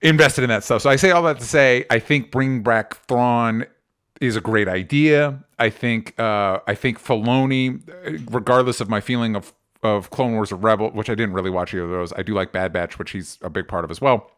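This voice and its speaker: A man's voice: fairly low pitch, a little fast, fairly bright tone, smooth. A man hosting a casual pop culture discussion, opinionated and rambling, often circling back to correct himself and swearing casually. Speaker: podcast host.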